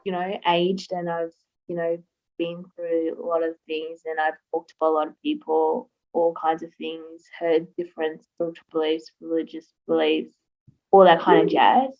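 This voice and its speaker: A woman's voice: deep voice, little pitch movement, normal speed. A young Australian woman answering interview questions in a casual, rambling way, with lots of fillers and restarts. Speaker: interview guest. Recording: slightly dull lo-fi sound.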